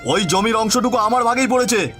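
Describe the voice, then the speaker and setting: Man as high-voiced indignant village farmer. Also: a little fast, fairly bright tone, faint music behind. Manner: insistent, argumentative. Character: indignant stubborn village farmer in land quarrel.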